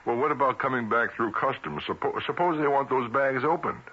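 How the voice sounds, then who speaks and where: An actor playing a decent, worried married citizen in a radio drama, earnest and hesitant. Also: male voice, medium pitch, a little fast, pushed and bright, husky. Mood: doubtful. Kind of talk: 1970s radio drama acting. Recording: noisy, dull vintage radio recording.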